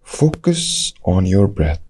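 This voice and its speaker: A man leading a guided meditation, giving calm, measured instructions in short phrases with long pauses. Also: deep voice, husky.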